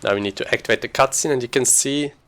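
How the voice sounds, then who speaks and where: A man's voice: medium pitch, fast talker, fairly bright tone, a little hiss or hum. A man giving casual, informal gameplay commentary as a speedrunner, thinking aloud as he plays.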